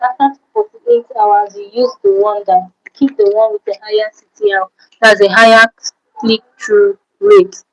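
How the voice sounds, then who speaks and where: A woman's voice: medium pitch, steady tone, a little slow, lo-fi sound. A woman hosting an informal livestream tutorial, explaining things instructively and checking in with her listeners. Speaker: livestream host giving tutorial.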